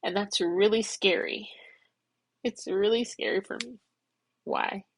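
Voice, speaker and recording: A woman's voice: mid-range voice, wildly swooping pitch, slow pace, gravelly, a little hiss or hum. A middle-aged woman talking through her thoughts in a personal vlog, rambling and self-reflective while crying and congested. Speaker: vlogger. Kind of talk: emotional personal vlog, external processing.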